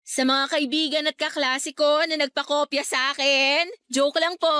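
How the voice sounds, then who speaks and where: A woman's voice: sits high, level tone, unhurried, bright, forceful tone, dry recording. A young Filipino woman acting in a radio drama as a devoted graduate grieving her late best friend, earnest and sentimental. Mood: grateful, heartfelt. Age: young adult.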